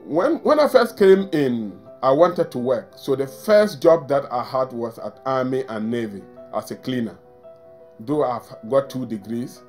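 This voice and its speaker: A man speaks in earnest, articulate interview testimony, now and then repeating a word as he restarts. His pitch is in the middle range, with soft guitar music faintly behind. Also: expressive, a little slow. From Ghana. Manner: earnest, articulate, some repetition. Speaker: interviewee, former refugee.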